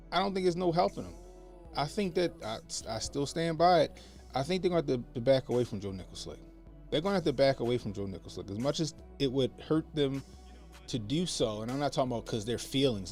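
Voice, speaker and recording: A man hosting a casual political commentary livestream, talking loosely with slang and repeating phrases for emphasis, in what he calls a monotone voice. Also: mid-range voice. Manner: self-described monotone voice. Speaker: livestream host. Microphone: podcast mixer setup. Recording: faint background music under the voice.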